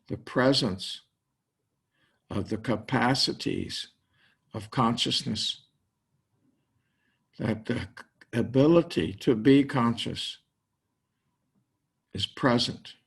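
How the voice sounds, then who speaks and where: An older man leading a guided meditation, speaking in slow, spacious phrases with long pauses. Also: medium pitch, breathy edge. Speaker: meditation teacher.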